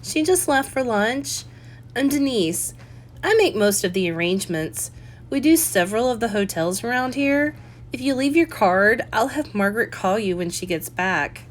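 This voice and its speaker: A woman's voice: on the high side, expressive, normal speed, a little hiss or hum, lightly acted. A woman reading a story, voicing a helpful, matter-of-fact florist's assistant in her early twenties.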